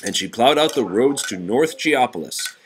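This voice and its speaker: A man reading a children's picture book aloud to a child in an expressive, patient way. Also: mid-range voice, normal speed. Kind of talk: storybook read-aloud to a child.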